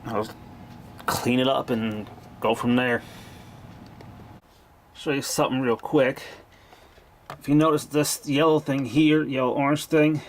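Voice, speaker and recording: A man giving a casual, off-the-cuff repair narration as a hobbyist, plain-spoken and informal with some mild swearing. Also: a little high. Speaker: hobbyist repairer of vintage tape machines. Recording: clearly noisy amateur video recording.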